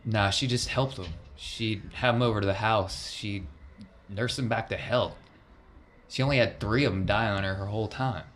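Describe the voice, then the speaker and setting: Weary male crime boss for a tabletop game, played by a man: low, blunt, folksy, bitter, slightly noisy recording. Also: fairly even intonation, moderate pace, a bit bright, lightly acted.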